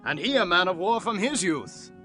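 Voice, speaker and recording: Man playing a bright-voiced, condescending king. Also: sits high, very animated, moderate pace, faint music behind, exaggerated voice. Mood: amused, dismissive. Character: dismissive, doubting king of Israel.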